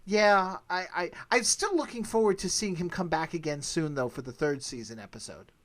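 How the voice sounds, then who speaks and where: A man's voice: on the high side, expressive, normal speed, fairly bright tone. A man hosting a podcast in a chatty, casual, self-deprecating way. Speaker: podcast host.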